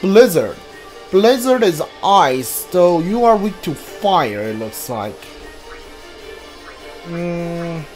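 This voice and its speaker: Man, live gameplay commentary, thinking aloud, fairly slow delivery, faint music behind. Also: on the high side, very animated, darkish tone. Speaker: game streamer.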